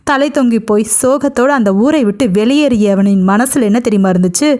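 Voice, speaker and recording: A woman's voice: fairly high pitch, a little fast, a little mellow. A woman telling a story as a narrator, in a dramatic spoken style.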